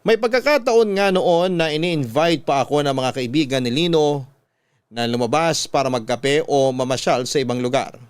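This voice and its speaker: A man with a Filipino accent reading out a young woman's love letter as scripted radio narration, measured and heartfelt. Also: on the high side, clean tone, no echo, natural voice. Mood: reflective, heartfelt.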